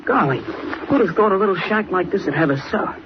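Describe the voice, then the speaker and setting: An actor playing an eager, excitable boy sidekick with an American accent in a 1940s radio serial, boyish and wide-eyed. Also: high voice, quick pace. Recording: muffled, very noisy old radio recording.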